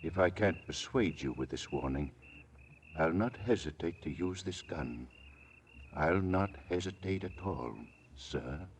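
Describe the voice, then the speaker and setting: A man playing a stiff, polite, quietly menacing chauffeur in 1940s radio drama, speaking formally and precisely. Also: low voice, lively intonation, unhurried. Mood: coolly threatening. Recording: noisy vintage radio recording.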